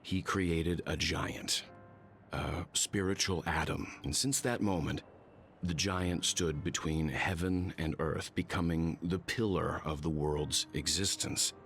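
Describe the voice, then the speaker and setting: A man's voice: low-pitched, fairly even intonation, takes it slowly, a bit bright, slight background noise, heavily acted. An actor playing a wise, world-weary traveler in a game, telling his story in a grave and thoughtful way. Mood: solemn. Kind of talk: scripted game dialogue, solemn storytelling.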